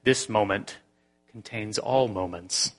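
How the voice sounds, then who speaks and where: Man doing a gentle, firm male angel, quiet and measured, storybook reading, echoey room. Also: lowish voice, soft background music. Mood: calm.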